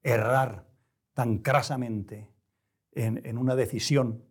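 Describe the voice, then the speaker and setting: A middle-aged man who is a writer, talking reflectively in an interview, choosing his words with pauses and restarts. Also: mid-range voice, fairly even intonation, husky. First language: Spanish. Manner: measured, searching for words, frequent restarts. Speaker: novelist, interview guest.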